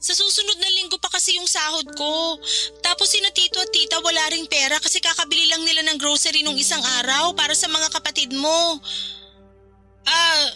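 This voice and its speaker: A Filipino actress playing an earnest, reproachful young relative in a radio drama, speaking in an emotional, pleading and scolding way. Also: female voice, very high voice, fairly even intonation, brisk, pushed and bright, heavily acted. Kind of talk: Tagalog radio drama acting. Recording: clear music bed behind the voice.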